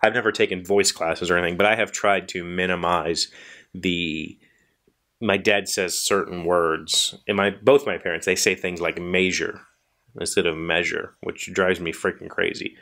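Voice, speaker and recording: Male host, forties, American, lowish voice. Casual, rambling, self-deprecating chat straight to viewers. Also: fairly even intonation, normal speed, bright, forceful tone. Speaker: host of a daily video show. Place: small indoor workshop crowded with tools.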